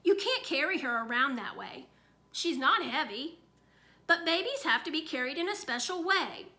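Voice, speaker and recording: A woman's voice: sits high, expressive, moderate pace, bright, forceful tone, pitched above the performer's own voice. A woman voicing an anxious, stern young mother who worries and scolds. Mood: scolding.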